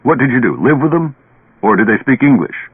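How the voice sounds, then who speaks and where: An actor playing a skeptical, brash drinking buddy who is blunt, slangy and needling in a radio play. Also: male voice, medium pitch, very animated, a little fast, husky, phone-quality sound, pitched above the performer's own voice. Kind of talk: old radio drama acting. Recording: band-limited old radio recording, echoey.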